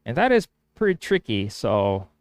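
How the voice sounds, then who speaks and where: Casting: male woodworker with Spanish as his first language. Smooth, mid-pitched voice, close dry sound, rambling workshop talk. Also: wildly swooping pitch, normal speed, no echo. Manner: thinking aloud, hesitant restarts. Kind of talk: casual workshop vlog narration.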